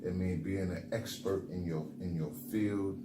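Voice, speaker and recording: A man's voice: sits low, steady tone, normal speed. A young man from the Bronx, co-hosting a motivational podcast in a laid-back way, full of fillers. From the Bronx, New York. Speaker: podcast co-host. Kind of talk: casual motivational chat. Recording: echoey room with background noise.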